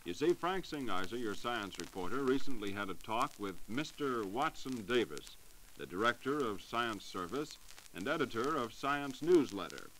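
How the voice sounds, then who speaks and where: A man's voice: medium pitch, very animated, moderate pace, fairly bright tone, poor audio. An American man hosting a scripted 1940s radio interview. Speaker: radio host. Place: radio studio. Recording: hissy 1940s radio recording.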